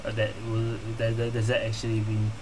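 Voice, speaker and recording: A man's voice: lowish voice, completely flat delivery, a little fast, a little hiss or hum, rough recording. A man giving casual gameplay commentary, muttering to himself and repeating words as he plays. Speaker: gamer playing and commenting. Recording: loud game music behind the voice. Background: video game music.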